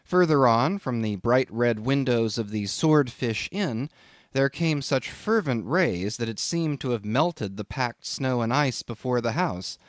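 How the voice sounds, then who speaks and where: A man reading a literary audiobook as scripted narration, in an expressive, measured storytelling manner. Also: medium pitch, no room sound, studio quality.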